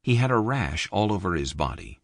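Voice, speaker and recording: A man reading an audiobook in a measured, expressive studio narration. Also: vocal fry, no room sound. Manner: measured, expressive reading with wide pitch movement. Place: dry recording booth. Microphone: close studio mic.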